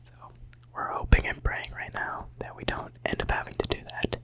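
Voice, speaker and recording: A teenager in their late teens whispering ASMR, a little sick and hoarse, rambling casually and softly repeating words at home into a close microphone. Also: moderate pace. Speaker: ASMR creator.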